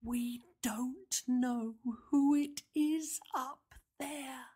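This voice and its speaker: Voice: mid-range voice, measured pace, close to an everyday voice. A narrator voicing a frightened, protective young girl who pleads anxiously in a children's story. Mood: whispering, scared.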